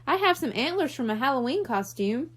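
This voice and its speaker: A woman plays a playful, scheming young boy in a children's audiobook. He is keen and cheeky, high-pitched and bouncing in tone. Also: sing-song, a little fast, husky, heavily acted.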